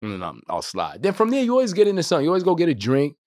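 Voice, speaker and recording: A man in his thirties co-hosting a podcast, telling stories in casual slang, speaking into a boom mic in a studio. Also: dry recording. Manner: fast, slangy, animated storytelling. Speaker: podcast co-host. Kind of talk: casual chat. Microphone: boom mic on arm.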